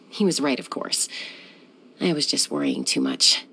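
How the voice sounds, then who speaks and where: An actress voicing a cool, confident young woman who is a sky-hunter and peacemaker in a video game, speaking in a calm, composed, measured way. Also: a bass voice, creaky, a little hiss or hum, full character voice. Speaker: game character.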